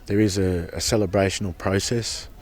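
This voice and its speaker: Guy, really low voice, earnest and passionate, keeps restarting words. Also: steady tone, moderate pace. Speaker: protester at a sacred site camp. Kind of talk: impassioned personal testimony.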